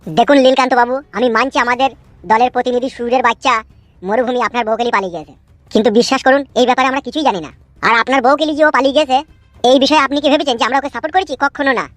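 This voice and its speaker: A woman's voice: high-pitched, steady tone, rapid-fire, no room sound, close to an everyday voice. A woman playing a self-important male village politician in a comic cartoon, lecturing and brushing complaints aside. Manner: pompous, lecturing, dismissive.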